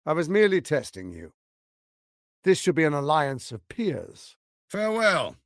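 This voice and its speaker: Pompous scheming tyrant with wildly swooping delivery. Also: male voice, on the high side, huge pitch swings, normal speed, no room sound, clean studio sound. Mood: mocking. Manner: pompous, theatrical, articulate, condescending. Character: scheming, silver-tongued tyrant archduke, grandiose and menacing. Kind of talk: theatrical video game voice acting.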